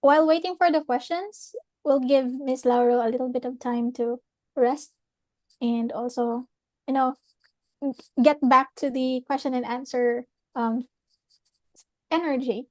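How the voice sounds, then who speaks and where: A young woman with a Philippine English accent hosts an online session. Her voice sits high and she talks reflectively, pausing and restarting as she gathers her thoughts. The call audio is dry, with no room around it. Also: fairly even intonation, a little mellow, dry recording, low-quality recording. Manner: thoughtful, with frequent fillers and restarts. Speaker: webinar host. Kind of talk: reflective chat with a guest.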